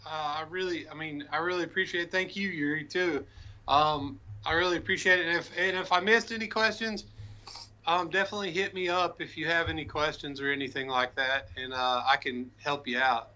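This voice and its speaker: Male interview guest, high and bright, friendly filler-heavy chat. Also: steady tone, a little fast, lo-fi sound. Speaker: guest, lure painter. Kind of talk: casual livestream interview.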